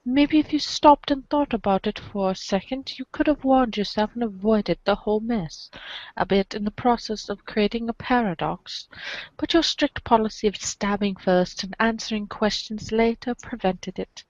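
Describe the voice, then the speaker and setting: A woman's voice: mid-range voice, moderate pace, darkish tone, no echo, dull audio. A woman reading second-person comic narration aloud for a podcast. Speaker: reader in a webcomic reading podcast.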